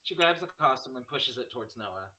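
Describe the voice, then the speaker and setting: A man's voice: mid-range voice, expressive, a little fast, pushed and bright, highs cut off. A man reading out screenplay stage directions as a narrator.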